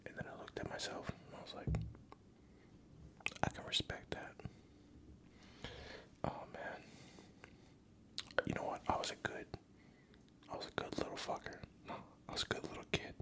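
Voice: fairly quick, long pauses. A young adult whispering crude, rambling chat close to a studio microphone at home, a little tipsy. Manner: profane, rambling, joking. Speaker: ASMR creator. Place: home room. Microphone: studio USB microphone.

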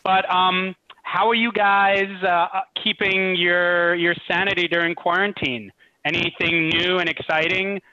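A man's voice: high-pitched, fairly even intonation, measured pace, pushed and bright. A man casually hosting a live panel over a muffled video call from an indoor room. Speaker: host. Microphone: computer mic on video call.

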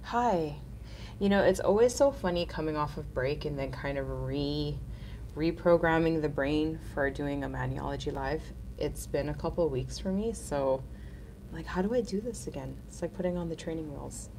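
A woman's voice: deep voice, normal speed, darkish tone, a little hiss or hum, rough recording. A woman in her thirties hosting a casual live nail art tutorial, chatty and upbeat, sounding nasal from a cold.

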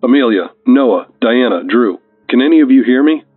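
A man's voice: mid-range voice, moderate pace, breathy edge, no echo, soft background music. A man voicing an earnest astronaut speaking over filtered radio comms in an audio story. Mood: urgent, worried. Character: earnest astronaut on comms, left behind at lander. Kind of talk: audio story character dialogue. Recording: narrow radio comms channel.

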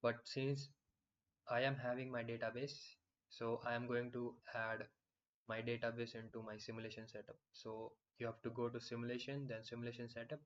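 A man explaining software in a screen-recorded tutorial, with frequent fillers and restarts. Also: mid-range voice, flat delivery, a little fast, dull audio, slight background noise.

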